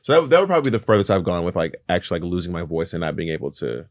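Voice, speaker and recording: A man co-hosting a podcast in casual chat, teasing and prodding with quick questions. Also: deep voice, sing-song, dry recording, clean studio sound. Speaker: podcast co-host.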